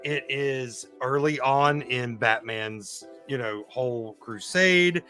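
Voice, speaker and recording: A man's voice: medium pitch, slow, pushed and bright, soft background music. A man chatting casually and enthusiastically about films on a live stream, speaking emphatically.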